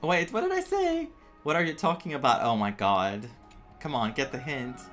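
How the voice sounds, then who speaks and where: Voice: mid-range voice, big pitch swings, moderate pace, a bit bright, faint music behind. A game streamer giving casual, exclamatory playthrough reactions, talking to the screen.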